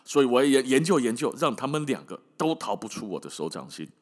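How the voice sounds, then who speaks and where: Man playing a storybook big bad wolf, sly, wheedling, scheming, animated pitch swings. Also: brisk. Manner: sweet-talking, wheedling. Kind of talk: storybook character voice in podcast storytelling.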